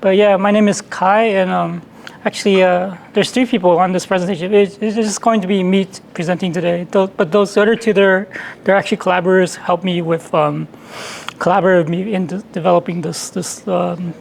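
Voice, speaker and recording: Hoarse, halting man presenting. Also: high voice, fairly even intonation, a little hiss or hum. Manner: halting, many restarts and repeated words. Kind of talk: technical meetup presentation.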